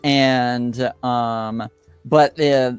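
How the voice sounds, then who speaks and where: A man improvising tabletop game narration as game master, in a hesitant, halting way with long pauses. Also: medium pitch, fairly even intonation, clear, resonant tone, no echo, faint music behind.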